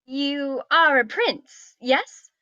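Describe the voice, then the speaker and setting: A woman plays a young storybook princess in a comic read. Her voice is piercingly high and bright, slow and coyly plaintive, sweetly demanding. The recording is dry and slightly dull. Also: animated, dry recording, exaggerated voice. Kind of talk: table read of a comedy film script.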